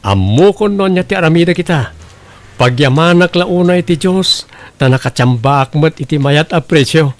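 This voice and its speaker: A man's voice: on the high side, lively intonation, moderate pace. A man with an Ilocano accent playing a humble, earnest young farmer son in an audio drama. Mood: grateful, glad.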